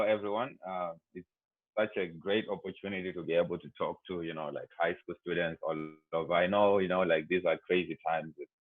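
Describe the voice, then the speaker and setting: A man's voice: deep voice, no room sound. A young man from Zimbabwe with a Zimbabwean accent, speaking casually to students on a video call and filling his talk with "like" and "you know". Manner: rambling, heavy on "like" and "you know". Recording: tinny, dry video-call audio.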